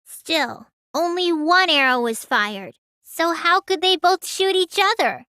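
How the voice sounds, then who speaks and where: A woman voicing a small, childlike teenage girl mage in a video game, high and girlish, sulky and excitable with a whiny edge. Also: slow pace, no room sound, clean studio sound, full character voice. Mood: earnest, reasoning. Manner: whiny, stammers when startled. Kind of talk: English game dub voice lines.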